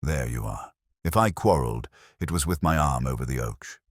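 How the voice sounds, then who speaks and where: Man voicing a pompous, indignant organist, animated delivery. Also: a bass voice, moderate pace, breathy edge, no room sound, studio quality, heavily acted. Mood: belligerent, indignant. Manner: sharp, snapping, disdainful, theatrical. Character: belligerent, indignant, pompous tall male church organist.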